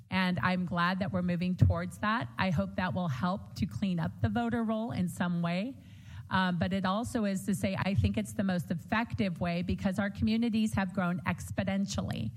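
A woman with a strong, loud voice giving an earnest, hopeful answer as a candidate in a debate. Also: mid-range voice, poor audio.

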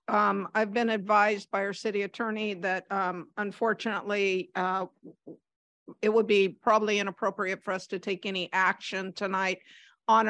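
A woman chairs a council meeting, speaking hesitantly with frequent ums. Her voice sits at a middle pitch and the sound is dry. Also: fairly bright tone, dry recording. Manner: hesitant, apologetic, with fillers.

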